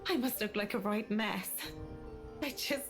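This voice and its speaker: A woman's voice: mid-range voice, measured pace, fairly bright tone, lightly acted. An actress playing an earnest, emotional young woman with a pleading manner in a visual novel game. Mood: distraught, pleading. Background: game music.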